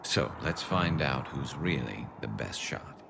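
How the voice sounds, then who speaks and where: Very deep, gravelly alien soldier. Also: male voice, animated, slow pace, noisy background, heavily acted. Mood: teasing. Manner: dry, teasing banter. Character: cocky, wry male Turian alien soldier and loyal friend. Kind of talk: video game voice acting.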